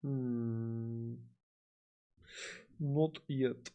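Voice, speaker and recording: Male, young adult, Dutch accent, gravelly, mid-pitched. Casual stream talk, filler-heavy, blunt. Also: fairly even intonation, drawn-out words, phone-quality sound, soft background music. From Netherlands. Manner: frequent fillers like "you know" and "like", blunt and profane. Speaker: livestream trader. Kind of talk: casual livestream chat reading comments.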